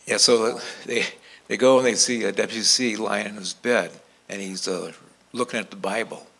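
An older man telling an anecdote to an audience in a casual, halting, conversational way. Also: fairly low pitch, steady tone, gravelly, a bit bright. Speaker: panel speaker. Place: hotel conference room with audience. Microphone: handheld microphone.

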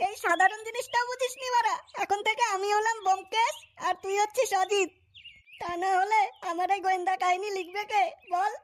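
A woman's voice: very high voice, steady tone, brisk. A woman voicing a brash, boastful, foul-mouthed male amateur detective in a comic cartoon. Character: cocky, foul-mouthed adult male self-styled detective.

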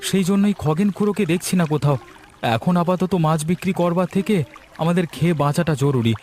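A man voicing an earnest young village fisherman in a cartoon, talking in a lively, chatty way. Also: high voice, fast, close to an everyday voice. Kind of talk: cartoon voice acting.

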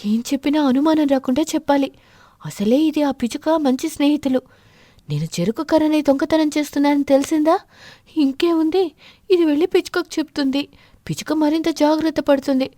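Woman doing a high, sly thieving pigeon. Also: a little fast, mellow, dry recording, studio quality, natural voice. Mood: nervous scheming. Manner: insinuating, defensive.

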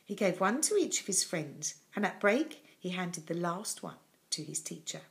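Animated older woman reading a storybook. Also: fairly low pitch. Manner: animated, dramatic, with deliberate pauses. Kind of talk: expressive children's storybook reading. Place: small room at home, indoors.

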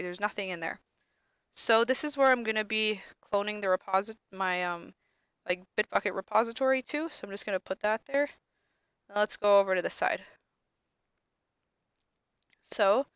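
A young woman lab instructor, sniffly from allergies, talking casually through a screen-recorded software tutorial with many ums. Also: mid-range voice, clean tone, no echo.

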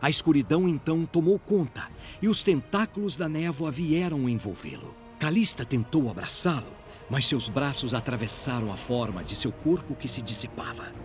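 A man's voice: medium pitch, expressive, moderate pace, few pauses, darkish tone, soft background music. A man with a Brazilian accent narrating a scripted story in a dramatic audio drama style.

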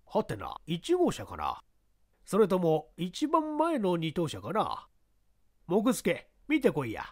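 A man's voice: high voice, huge pitch swings, slow, heavily acted. Man playing a bossy newsroom boss. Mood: gruff, puzzled. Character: gruff, bossy male newspaper deputy chief. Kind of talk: audiobook character acting.

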